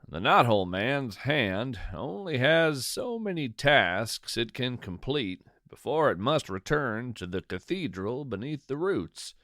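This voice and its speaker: A man's voice: mid-range voice, fairly bright tone, dry recording, studio quality. A man narrating cozy folklore fiction in the first person as a podcast host, musing in descriptive, literary phrases with conversational asides.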